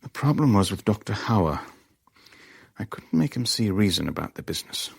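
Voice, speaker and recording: A pragmatic businessman in a radio play. His voice is low and sits in a dry studio sound. He talks in a measured, wry, understated way. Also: sing-song, vocal fry, breathy edge, no room sound, full character voice. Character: pragmatic, wry male businessman, sceptical and worldly. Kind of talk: radio drama dialogue and first-person narration.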